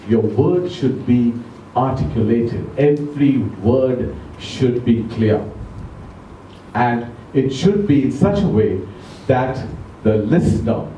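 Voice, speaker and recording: A man giving an educational talk to a club audience, speaking slowly and deliberately, asking them questions, English not his first language. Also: medium pitch, dark tone, distant mic, slight background noise. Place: club meeting room. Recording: echoey room recorded from afar.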